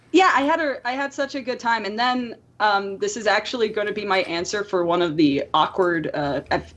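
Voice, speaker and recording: A woman co-hosting a casual podcast, talking in an animated, playfully exaggerated way. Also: medium pitch. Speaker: podcast co-host.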